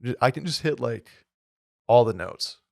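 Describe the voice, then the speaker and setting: A man co-hosting a podcast in casual chat, with a hoarse, slightly nasal voice and a rambling, upbeat way of talking. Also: mid-range voice, expressive, normal speed, dry recording, studio quality. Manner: rambling, filler-heavy, upbeat. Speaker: podcast co-host.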